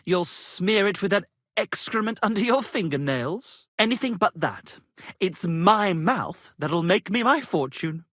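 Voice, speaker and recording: An actor voicing a vain, florid wandering poet-musician, theatrical and grandiloquent, in a medieval video game. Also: male voice, high-pitched, animated, a little slow, fairly bright tone, no room sound, clean studio sound, heavily acted. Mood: haughty, scornful. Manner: flowery, grandiloquent, melodramatic.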